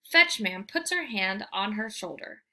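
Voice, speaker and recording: A woman reading a children's book aloud as a storyteller, with expressive, emphatic delivery. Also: mid-range voice, measured pace. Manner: animated, emphatic, theatrical delivery.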